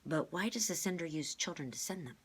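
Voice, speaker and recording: A woman's voice: on the low side, animated, brisk, slightly rough. A woman voicing a thoughtful, earnest child in a storybook, asking questions plainly. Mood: puzzled.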